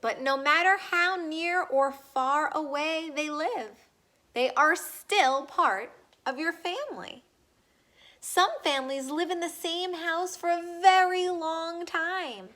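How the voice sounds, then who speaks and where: A woman reads a children's book aloud in a high, bright voice. She goes slowly and deliberately, speaking straight to young listeners and asking them questions as she reads. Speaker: read-aloud reader.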